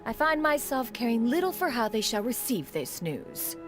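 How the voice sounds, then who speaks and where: A woman's voice: a little high, big pitch swings, normal speed. A woman voicing a proud, aloof immortal adept in a video game, speaking in a haughty, formal manner. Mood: haughty, dry. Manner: haughty, formal, precisely worded. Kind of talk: video game character dialogue. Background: faint music.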